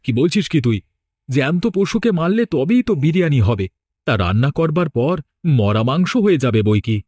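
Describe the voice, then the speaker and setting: A man's voice: a little high, sing-song, moderate pace, darkish tone, dry recording, clean studio sound. A man voicing a plain-spoken, fretful and scolding village man in a horror cartoon. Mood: worried.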